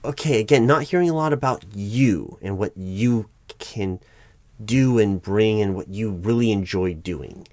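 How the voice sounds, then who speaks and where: A man playing a patient but baffled corporate recruiting manager in a one-sided interview roleplay, speaking in a halting, stammering, diplomatic way. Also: fairly low pitch, a little mellow, lightly acted. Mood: politely probing.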